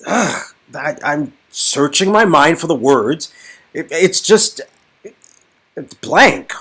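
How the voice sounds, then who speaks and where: A man voicing a frustrated, halting man who is slowly unravelling into panic in an interactive story game. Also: a little high, sing-song, fairly bright tone, slightly rough, voice pushed up, heavily acted. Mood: frustrated, lost. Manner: halting, stammering.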